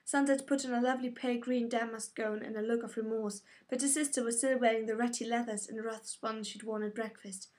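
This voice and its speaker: A woman's voice: on the high side, fairly even intonation, a little fast, hardly any pauses, a little mellow. A woman reading scripted fiction as an audiobook narrator.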